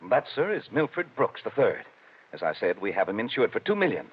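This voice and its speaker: A man's voice: fairly low pitch, wildly swooping pitch, brisk, breathy edge, exaggerated voice. A man playing a fussy, anxious insurance company manager in an old-time radio drama, speaking in a prim, formal, emphatic way. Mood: indignant. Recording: dull lo-fi radio sound.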